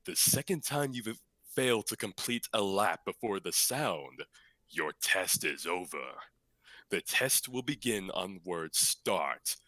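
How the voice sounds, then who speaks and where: A man voicing a grandiose dark overlord, making dramatic drawn-out announcements in an anime dub style. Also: low-pitched, lively intonation, no echo, full character voice.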